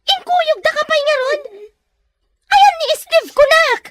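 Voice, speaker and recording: A woman's voice: very high-pitched, slow, a bit bright, no echo, studio quality, heavily acted. A woman playing a harsh, domineering madam in an Ilocano audio drama, commanding and scornful. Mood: angry, accusing. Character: harsh, domineering madam interrogating and threatening her captive.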